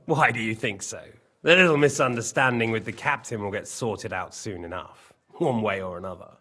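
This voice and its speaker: An actor playing a smug, treacherous soldier in a video game, with a sly, mocking manner. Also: male voice, lowish voice, normal speed, sharp tone. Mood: smug, taunting. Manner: sly, mocking, swinging between barking and silky. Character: smug, treacherous captured soldier serving a king. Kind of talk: video game cutscene acting.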